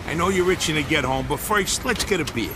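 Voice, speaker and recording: Actor as cocky hustler, slick talker, lively higher voice, game cutscene, music underneath. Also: male voice, a little fast, noisy background, natural voice. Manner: slick, self-assured. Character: cocky young hustler friend, smooth talker.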